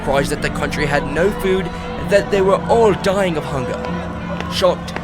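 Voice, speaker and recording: A man's voice: fairly high pitch, normal speed, over a noisy crowd. A man narrating a fantasy audio drama in a scripted storybook style. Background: music.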